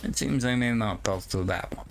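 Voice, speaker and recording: A man voicing an aloof, proud cat-folk adventurer in formal, measured speech as game roleplay. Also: on the low side, creaky, slight background noise. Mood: aloof, musing. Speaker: gamer playing in character. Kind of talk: in-character roleplay game commentary. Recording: game music behind the voice.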